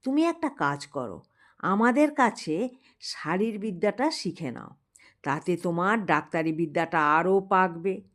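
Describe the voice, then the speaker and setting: Woman reading as a shrewd old senior doctor, unhurried. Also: on the low side, lively intonation. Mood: coaxing, advisory.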